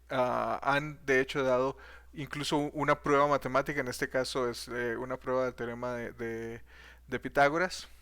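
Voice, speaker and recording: Man, lecturer, unhurried, clear resonant tone, lots of hesitations. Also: medium pitch, a bit bright. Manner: hesitant, frequent fillers and restarts. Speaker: math history teacher. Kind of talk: unscripted video lecture.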